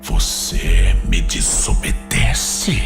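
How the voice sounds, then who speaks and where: Very deep, gravelly demon lord. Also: male voice, huge pitch swings, full character voice. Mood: contemptuous, menacing. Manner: slow, curt, imperious. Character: cold, commanding demon master echoing inside a skull. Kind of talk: dramatic audio-series acting. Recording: loud music or effects behind.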